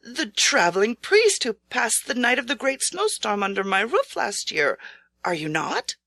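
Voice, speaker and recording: A woman's voice: a little high, very animated, unhurried, gravelly, pushed and bright, dry recording, heavily acted. A woman voicing a poor but proud male samurai knight in a storybook, speaking earnestly and formally. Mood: awed, apologetic. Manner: earnest, formal, solemn speech.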